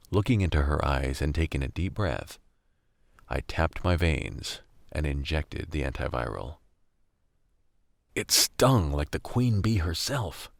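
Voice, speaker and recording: A man's voice: very deep voice, creaky, dry recording, clean studio sound, exaggerated voice. A man acting as a weary, wry young survivor, narrating a radio drama in the first person. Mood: reflective. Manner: wry, brooding, world-weary. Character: weary, gritty young male survivor narrating a post-collapse story.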